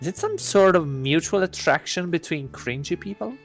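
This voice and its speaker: A man's voice: fairly high pitch, expressive, normal speed, faint music behind, voice pushed up. A man voicing a smirking, teasing teenage schoolgirl in a visual novel, with a snide manner. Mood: mocking.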